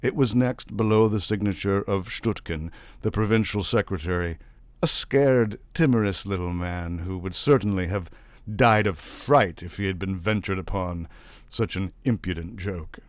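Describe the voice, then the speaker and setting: A man reading a literary short story aloud as an expressive narrator. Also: low-pitched, moderate pace, no echo. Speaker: story reader.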